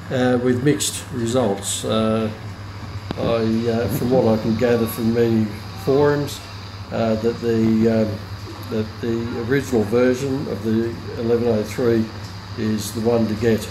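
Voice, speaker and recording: A man talks through a hands-on demonstration at a slow, deliberate pace. His delivery is informal and hesitant, with frequent pauses mid-thought. The room echoes around his medium-pitched voice, with clear noise behind it. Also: steady tone. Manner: hesitant, unscripted, pausing often mid-sentence. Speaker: radio hobbyist reviewer. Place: indoor room with hard countertop. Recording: roomy echo, clear background noise.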